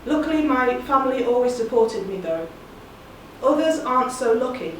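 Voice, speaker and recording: A woman, giving an earnest, measured spoken-word monologue. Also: a little high, a little hiss or hum. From England. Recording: very echoey room, distant mic.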